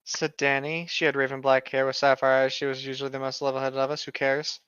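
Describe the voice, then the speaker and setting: Clear smooth voice, sarcastic, exasperated, mocking story reader, slightly muffled lo-fi sound. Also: mid-range voice, little pitch movement, quick pace, a bit bright, dry recording. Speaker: co-reader riffing on a horror story. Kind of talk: mocking dramatic reading with ad-lib commentary.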